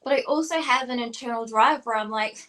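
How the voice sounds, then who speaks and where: A woman's voice: fairly high pitch, fairly quick, fairly bright tone. A young woman with a mixed New Zealand and Australian accent, co-hosting a podcast and explaining her ideas analytically. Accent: New Zealand-Australian mix. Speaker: co-host, stylist. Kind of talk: casual podcast chat.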